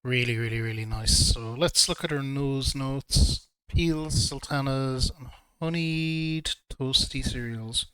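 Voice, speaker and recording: A man reviewing whiskey in a relaxed, hesitant way, a little throaty from a cold. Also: medium pitch, slow pace, few pauses, smooth, no echo. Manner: relaxed, hesitant with frequent ums. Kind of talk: casual video review.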